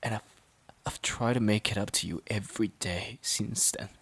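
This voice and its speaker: A man playing a worn-out, resentful boyfriend in an audio drama, pleading in a halting, strained way. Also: lowish voice, a bit bright, slightly rough. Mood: defensive, pleading. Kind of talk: dramatic audio roleplay monologue.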